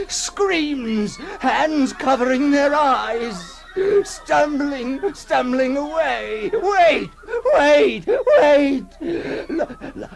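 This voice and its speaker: A man playing an anguished ghoul in a theatrical 1960s radio horror monologue, halting between whispers and cries. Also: high voice, creaky, full character voice. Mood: desperate, frantic. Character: anguished male ghoul recoiling from his own monstrous reflection. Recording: noisy old 1960s radio recording.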